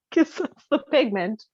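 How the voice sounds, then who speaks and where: A woman's voice: high-pitched, lively intonation, a little slow, darkish tone, husky, no echo. A woman co-hosting a podcast in casual, comedic conversation. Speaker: podcast co-host. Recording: dull, dry audio.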